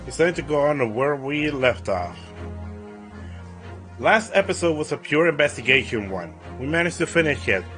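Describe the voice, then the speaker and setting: A male streamer with a somewhat high, raspy voice that moves up and down in lively swings. He sounds slightly congested and talks casually through a game, often restarting phrases, with faint music behind him. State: recovering from a stuffy nose and voice trouble. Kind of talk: casual livestream let's play, reading game dialogue aloud.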